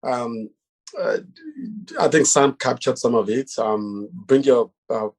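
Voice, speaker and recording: A man's voice: medium pitch, fairly even intonation, takes it slowly. A man on a casual panel giving careful, hesitant advice on interviews, with frequent ums and uhs, over a video call. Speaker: panelist on job hunting.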